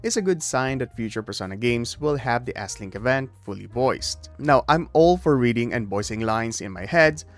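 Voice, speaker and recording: A man's voice: medium pitch, expressive, normal speed, hardly any pauses, faint music behind. A man delivering scripted video essay commentary as host, with emphatic phrasing and jokey asides.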